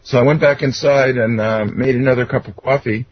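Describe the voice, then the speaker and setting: A middle-aged or older man with a husky voice, telling personal stories in an earnest, rambling, explanatory way as an interview guest. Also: mid-range voice, fairly even intonation, a little fast, lo-fi sound, slight background noise. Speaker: interview guest, self-described contactee. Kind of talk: conversational personal storytelling.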